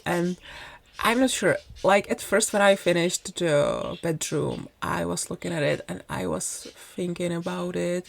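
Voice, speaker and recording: A woman's voice: fairly low pitch, gravelly. Chatty young woman with a Czech accent. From Czech Republic. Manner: chatty, rambling, self-deprecating, with light laughs. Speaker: Sims speedbuild YouTuber. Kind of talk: casual voice-over commentary.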